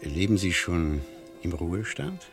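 Deep, creaky, talkative gentleman. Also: very animated, measured pace, soft background music, full character voice. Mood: genial, ironic. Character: genial, garrulous male spa regular, drily ironic. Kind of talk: 1970s German radio play acting.

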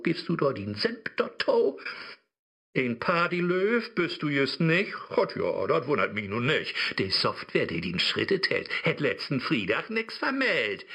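An actor playing a sly, mocking digital Mephisto in a rhyming radio play, theatrical and taunting, with a Low German accent. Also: male voice, a bit bright, full character voice. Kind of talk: satirical radio play in verse.

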